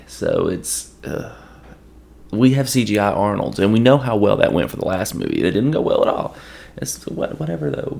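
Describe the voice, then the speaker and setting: A man whose voice is hoarse and gravelly, with a lot of fry in it, sitting low in pitch. He reviews a film in loose, rambling casual talk, often stopping and starting over mid-sentence. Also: darkish tone. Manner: rambling, with frequent restarts. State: hoarse from allergies. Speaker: podcast host.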